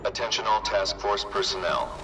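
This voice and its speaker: A man's voice: low voice, animated, normal speed, very noisy. A man voicing a curt task force dispatcher barking clipped orders. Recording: distant voice under loud music and gunfire.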